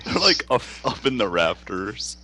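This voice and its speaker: Joking male player: very low voice, lively and swooping. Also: measured pace, a little hiss or hum. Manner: joking, playful banter. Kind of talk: casual tabletop game play.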